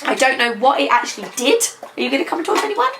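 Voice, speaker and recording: A young woman with a slightly croaky voice, chatting casually through a beauty product review and rambling with frequent ums. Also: sits high, very animated, fast talker, background noise. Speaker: beauty vlogger. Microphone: camera.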